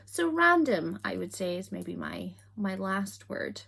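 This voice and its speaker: A woman's voice: mid-range voice, big pitch swings, slow pace, gravelly, fairly bright tone. Chatty Scottish woman vlogging. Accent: Scottish, softened toward standard British. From Scotland. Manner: chatty, self-deprecating, giggly. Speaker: YouTuber. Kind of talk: casual chat to camera.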